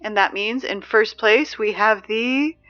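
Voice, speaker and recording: A woman's voice: medium pitch, unhurried, a bit bright, dull audio, soft background music, close to an everyday voice. A woman playing a sarcastic, exasperated teenage girl who reads school announcements in a casual, conversational audio drama style. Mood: snarky, exasperated. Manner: snarky, conversational, dry.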